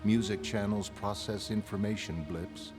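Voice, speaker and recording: An actor playing a cultured, grandiose male genius villain in a motion comic, speaking in measured, formal phrases with detached calm. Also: lowish voice, over music, close to an everyday voice. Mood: detached, musing.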